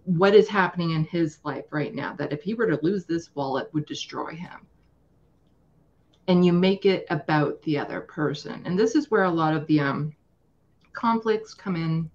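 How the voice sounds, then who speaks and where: A woman's voice: low-pitched, normal speed, darkish tone, muffled recording. A woman guest speaking earnestly and emphatically in a casual political discussion, often acting out imagined quotes.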